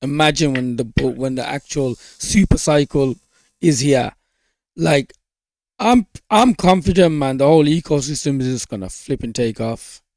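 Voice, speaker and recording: A man's voice: mid-range voice, expressive, moderate pace, a little mellow, dry recording. Slangy, hyped man in a dry recording. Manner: hyped, laid-back slang, peppered with bro and man. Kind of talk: casual slangy chat on a group call.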